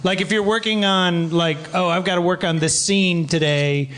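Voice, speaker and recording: A man's voice: high voice, steady tone, normal speed, fairly bright tone. A man asking questions and chiming in casually on a live recording. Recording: noisy, ambient live recording.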